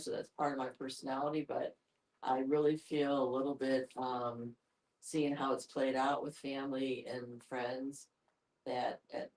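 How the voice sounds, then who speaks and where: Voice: mid-range voice, a little mellow. An older psychologist thinking aloud in a reflective group discussion, hedging along the way. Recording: distant microphone.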